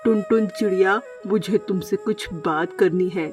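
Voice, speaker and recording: Casting: woman as gentle bachelor parrot, kids' cartoon. Medium pitch, earnest, coaxing, lovestruck. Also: unhurried, soft background music, lightly acted. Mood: earnest, wooing. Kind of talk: Hindi children's cartoon story dialogue.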